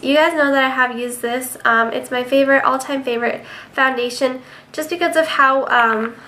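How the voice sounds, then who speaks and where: A woman's voice: fairly high pitch, pushed and bright. A young woman with a sick, nasal voice chatting casually through a beauty product review, with many ums, recorded on a webcam in her bedroom. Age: young adult. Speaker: beauty vlogger. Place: bedroom indoors.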